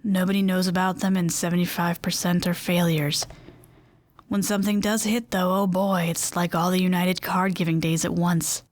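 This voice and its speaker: A woman narrator with a fairly low voice, reading in a lively, wry first-person tone. Her voice is recorded close and dry. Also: moderate pace, no echo. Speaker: story reader.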